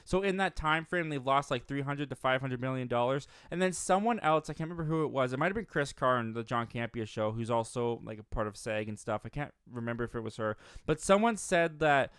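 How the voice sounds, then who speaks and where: A man's voice: mid-range voice, animated, a little fast, few pauses, a bit bright, clear, resonant tone, no echo, studio quality. A young man hosting a live movie stream, reading viewer comments aloud in an upbeat, rambling way with many restarts. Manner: upbeat, rambling, frequent restarts and self-corrections.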